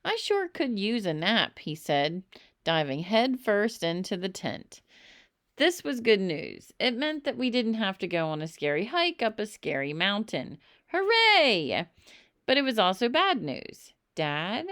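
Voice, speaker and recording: A woman reading a children's story aloud in an expressive narrating style, with emphatic, dramatic phrasing. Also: medium pitch, measured pace, dry recording, studio quality. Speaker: storybook reader.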